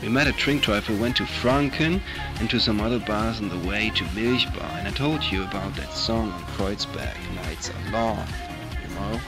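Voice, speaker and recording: A man's voice: fairly low pitch, moderate pace, loud music behind the voice. A man playfully telling a fond anecdote as a farewell message to a friend.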